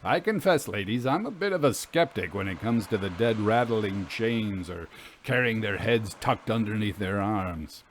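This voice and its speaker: A man's voice: on the low side, lively intonation, normal speed, a little hiss or hum, lightly acted. A man playing a plain-spoken, good-humored boatman in a story, with folksy, clipped phrasing. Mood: wistful, skeptical. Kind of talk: audio storytelling, acted dialogue.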